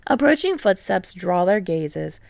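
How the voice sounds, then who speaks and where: A woman's voice: on the low side, very animated, a little slow, vocal fry, darkish tone, no echo. A woman reading a story aloud as scripted narration. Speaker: audiobook narrator of fan fiction.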